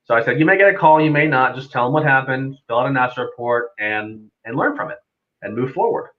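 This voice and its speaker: A man's voice: mid-range voice, animated, fast, phone-quality sound. A man, an air traffic controller guesting on a live stream, explaining things casually in an easygoing, conversational way. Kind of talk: casual unscripted explanation with anecdotes.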